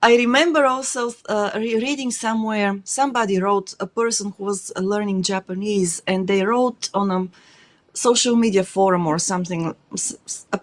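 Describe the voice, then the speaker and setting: A woman talks in English, which is not her first language, as a guest in a casual chat. She thinks aloud and leans on fillers as she looks for words. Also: mid-range voice.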